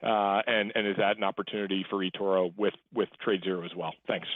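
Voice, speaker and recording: Low-voiced man on a phone line. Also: steady tone, fast talker, dry recording. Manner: tentative, rambling phrasing. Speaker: financial analyst asking questions. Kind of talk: informal earnings call questioning. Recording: band-limited phone line, dry.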